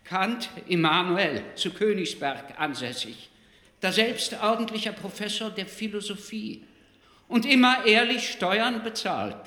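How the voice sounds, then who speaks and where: An actor playing Immanuel Kant as a pedantic, meek philosophy professor in a radio play, speaking with fussy, over-deferential care. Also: male voice, sits high, lively intonation, unhurried, bright, forceful tone, full character voice. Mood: obsequious, fussy. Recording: echoey hall, long reverb.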